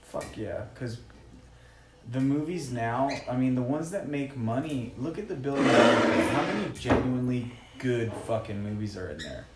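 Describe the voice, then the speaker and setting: A man's voice: medium pitch, expressive, moderate pace, very noisy. Echoey, slangy man on a podcast. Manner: profane, slangy, says dude often. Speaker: podcast co-host. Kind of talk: casual movie discussion among friends.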